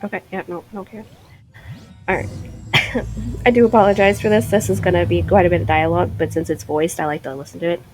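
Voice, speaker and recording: A woman's voice: on the low side, steady tone, quick pace, poor audio. A woman giving blunt, informal livestream commentary while she plays a video game. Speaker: live game streamer. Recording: clear game music behind voice.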